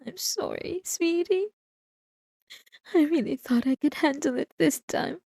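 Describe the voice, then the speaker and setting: A woman's voice: high-pitched, measured pace, creaky, warm tone, dry recording, studio quality. A woman playing an unhinged, possessive and obsessive wife in a dramatic ASMR roleplay, intense and swinging between pleading and menace. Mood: remorseful, fragile. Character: unhinged possessive, obsessive young wife confronting her husband.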